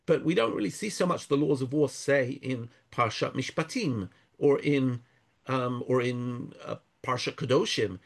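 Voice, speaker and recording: A man speaking thoughtfully in an interview, pausing and restarting often, weaving in Hebrew verses. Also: mid-range voice, vocal fry. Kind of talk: conversational interview.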